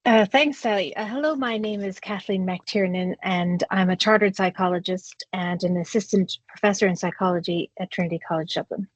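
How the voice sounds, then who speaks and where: A woman's voice: medium pitch, moderate pace, few pauses, dry recording. A woman from the United States, a psychology professor, introducing herself with frequent hesitant fillers. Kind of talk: self-introduction. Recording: dry, dull lo-fi audio.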